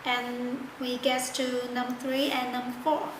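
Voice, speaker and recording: A woman narrating a step-by-step product tutorial in halting, simple non-native phrasing. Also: fairly high pitch, completely flat delivery. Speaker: product demonstrator. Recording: echoey room with loud background noise.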